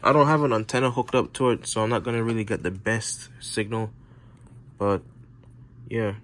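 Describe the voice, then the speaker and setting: A man with a fairly low voice talks through a hands-on tutorial. He explains one step at a time, informally and haltingly. The amateur recording carries clear background noise. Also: fairly even intonation, a bit bright. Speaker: presenter of a how-to repair video.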